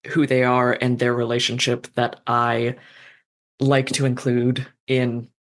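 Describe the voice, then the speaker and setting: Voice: medium pitch, monotone, a little slow. A fanfiction author in a casual podcast conversation, thoughtful and meandering, with frequent fillers and restarts.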